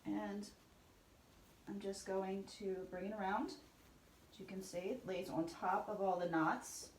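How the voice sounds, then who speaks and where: A woman with a stuffy nose from a cold, calmly talking through a step-by-step tutorial and often dropping to a soft whisper. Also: medium pitch, slight background noise, poor audio. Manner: calm, unhurried, often drops to a soft whisper. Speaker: head wrap tutorial host.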